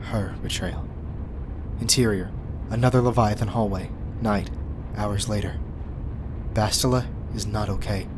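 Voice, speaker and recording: A man's voice: lowish voice, fairly even intonation, measured pace, noisy background. A man narrating a scripted audio drama, reading scene directions in a dramatic manner.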